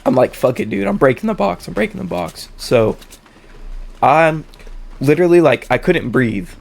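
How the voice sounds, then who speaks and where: A young man, slightly hoarse and stuffed up with a cold, telling a story in casual, slangy, sweary talk. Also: a little high, expressive, moderate pace, a little mellow. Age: young adult. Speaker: storytelling host.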